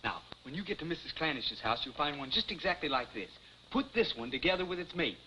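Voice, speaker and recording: A man's voice: a little high, sing-song, fast talker, sharp tone. A man playing an innocent, nervous young American in a 1950s television comedy, earnest and boyish, tending to stammer and plead. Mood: eager. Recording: noisy, muffled 1950s television soundtrack.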